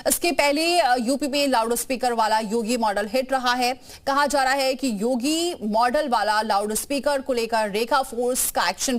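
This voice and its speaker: A woman presenting a scripted news introduction as an anchor. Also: fairly high pitch.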